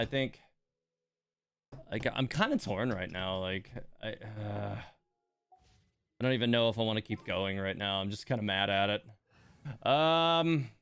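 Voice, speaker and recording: A man's voice: on the low side, fairly bright tone, clean tone, dry recording. A man hosting a game livestream in casual chat, muttering exasperated asides to himself and his viewers. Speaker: livestream host playing a gacha game.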